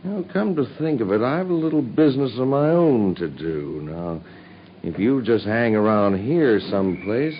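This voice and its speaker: Man acting as an arrogant gentleman, sneering and bossy, swooping pitch, muffled old radio sound. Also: expressive, normal speed, slight background noise, natural voice. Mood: domineering. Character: arrogant bullying American gentleman, domineering. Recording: dull old radio broadcast.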